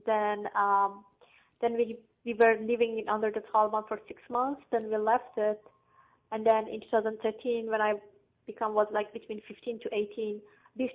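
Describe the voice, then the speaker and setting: A woman from Afghanistan speaks in a flat, even voice, pausing often and searching for her words in English. Her voice comes through a thin, phone-like line. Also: medium pitch. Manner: halting, searching for words, frequent fillers. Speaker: guest. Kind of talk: interview answers.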